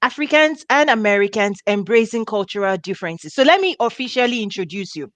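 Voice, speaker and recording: A woman's voice: mid-range voice, animated, fairly quick, fairly bright tone, dry recording. A middle-aged woman from Nigeria hosting a video call interview on a headset, bubbly and laughing often. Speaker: host. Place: home office with diplomas.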